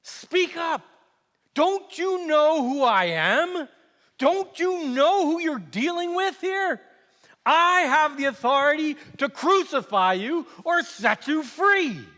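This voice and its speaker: Sardonic Roman governor for stage monologue, male actor: high-pitched, forceful, scornful and exasperated, theatrical delivery. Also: measured pace, long pauses, heavily acted. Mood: furious, imperious. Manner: animated, scornful, exasperated, swinging from quiet asides to outbursts. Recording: echoey hall with long reverb.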